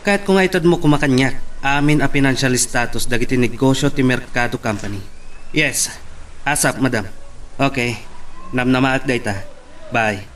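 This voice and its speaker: Earnest man, mid-pitched, slightly distant acted. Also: moderate pace, pauses often, a bit bright, a little hiss or hum, lightly acted. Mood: businesslike. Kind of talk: radio drama acting.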